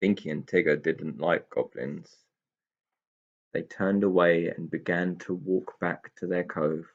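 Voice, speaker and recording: A man's voice: deep voice, fairly even intonation, a little slow, dull audio. A man narrating a children's story as a storyteller reading aloud. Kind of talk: expressive scripted story narration.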